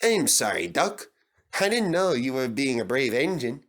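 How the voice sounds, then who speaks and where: Storybook barber, male performer: cross, fussy, emphatic, sharp-toned. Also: medium pitch, sing-song, moderate pace. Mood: apologetic. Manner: crotchety, emphatic. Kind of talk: storybook fandub character voice.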